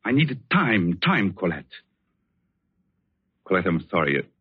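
An actor playing a grave, devoted man in a 1940s radio suspense drama, speaking deliberately and dramatically. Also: low-pitched, big pitch swings, husky, no echo, heavily acted. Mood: urgent, apologetic. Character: grave, devoted man confessing the truth to his lover. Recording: muffled, narrow old 1940s radio recording.

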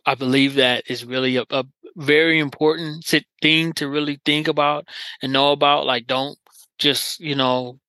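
A man's voice: fairly high pitch, little pitch movement, normal speed, bright, forceful tone, clean tone, no echo. A man hosting a podcast, talking in an earnest, encouraging way with lots of filler phrases. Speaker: podcast host. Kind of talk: casual interview chat.

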